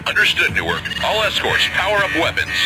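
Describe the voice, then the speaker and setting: An actor playing a formal warship officer in a video game, making clipped military radio calls. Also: male voice, high voice, sing-song, brisk, over music, low-quality recording. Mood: urgent. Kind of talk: video game cutscene acting.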